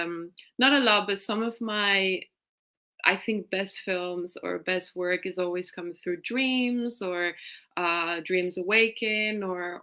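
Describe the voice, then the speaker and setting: Bright-voiced Spanish-raised woman director. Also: medium pitch. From Spain. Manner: reflective, rambling with restarts and soft laughs. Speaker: film director, guest. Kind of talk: festival Q&A interview over video call.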